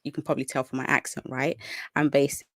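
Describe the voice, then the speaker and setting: A woman's voice: extremely low pitch, flat delivery, fast, fairly bright tone, no echo, studio quality. A young adult woman with a British accent, hosting a live Q&A about children's hair care, chatty and upbeat, greeting viewers by name. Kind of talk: live stream chat with viewers.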